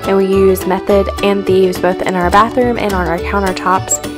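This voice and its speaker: A young woman narrates in a smooth, steady voice-over with no hurry. Clear background music plays under her. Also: medium pitch, normal speed. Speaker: lifestyle vlogger.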